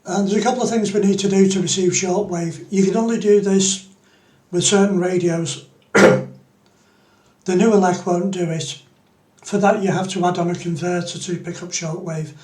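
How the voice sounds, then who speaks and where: High-pitched man presenting a tutorial, roomy sound. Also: fairly even intonation, normal speed, a little mellow. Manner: explanatory, step-by-step. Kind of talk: unscripted screen-demo tutorial.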